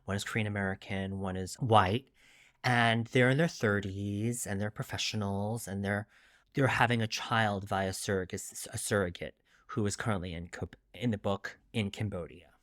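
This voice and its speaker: A man's voice: fairly low pitch, steady tone, moderate pace, fairly bright tone, no echo, studio quality. A man in his late forties answering interview questions conversationally as a debut novelist, reflective and a little self-deprecating.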